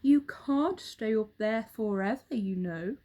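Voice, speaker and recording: A cheerful storybook bird, acted out by a woman. The voice is high and lively in pitch. It explains things confidently and reassures like a helpful friend. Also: slow pace. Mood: reassuring, chatty.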